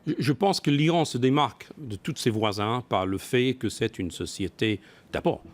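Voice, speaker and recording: A man speaking as an expert guest in a broadcast business interview, often pausing to rephrase and repeat his words. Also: mid-range voice. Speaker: business expert guest, former company executive.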